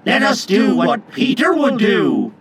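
A man doing high-pitched, excitable little boys. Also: takes it slowly, crowd noise, full character voice. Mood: urgent. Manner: eager, exclamatory. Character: excitable young lost boys, breathless and wide-eyed. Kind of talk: storybook character acting.

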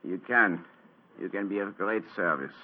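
An actor playing an injured German count who speaks with a German accent and many hesitations, in a 1940s radio drama. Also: male voice, low-pitched, moderate pace, husky. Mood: weak, urgent. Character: injured German count, older man, bedridden diplomat. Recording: tinny lo-fi old radio broadcast.

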